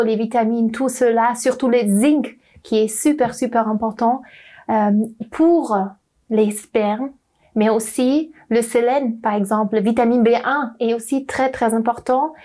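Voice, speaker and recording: Young non-native woman doctor, slow and hesitant, warm tone, slightly high voice. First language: not French. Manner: hesitant, searching for words, many fillers. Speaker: doctor at a clinic. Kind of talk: interview guest.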